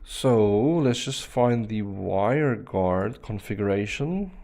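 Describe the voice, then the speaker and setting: A man whose first language is Afrikaans, chatting casually on a live stream while he works through a networking lab, with relaxed fillers and restarts. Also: lowish voice, slow, vocal fry.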